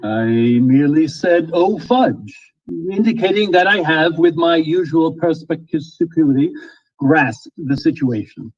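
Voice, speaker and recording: An actor playing a pompous, easily flustered small-town mayor in old-time radio comedy style, wordy and prone to stammering. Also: male voice, a little high, animated, slow pace, breathy edge, dull audio, heavily acted.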